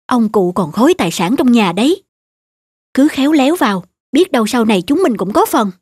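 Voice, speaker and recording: A woman voicing a scheming, greedy married daughter in an animated folk tale, with a sly, nagging way of talking. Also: on the high side, brisk, slightly rough, dry recording. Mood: sly, calculating.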